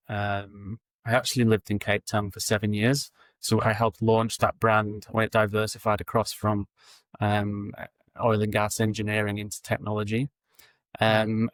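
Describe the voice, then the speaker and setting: Low, flat-voiced man. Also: no echo. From England. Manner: relaxed, steady, frequent ums. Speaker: guest, recruitment founder. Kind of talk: casual interview answers.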